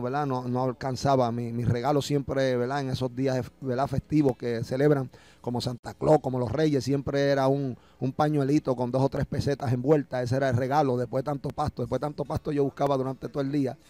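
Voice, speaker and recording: A man's voice: mid-range voice, warm tone. A middle-aged man with a Puerto Rican accent giving a personal religious testimony, often adding little tags that seek agreement, close to a studio microphone. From Puerto Rico. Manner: frequent verbal tags seeking agreement. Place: small radio studio. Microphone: close broadcast microphone with headphones.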